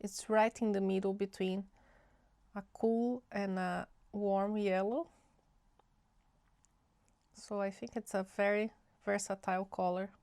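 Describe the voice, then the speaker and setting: A young woman talks slowly through a relaxed painting tutorial, her voice nasal from a cold and edged with vocal fry. She thinks aloud in a chatty, easygoing way. The recording is close and dry. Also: mid-range voice, no room sound. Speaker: art channel host. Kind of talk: casual watercolor swatching tutorial.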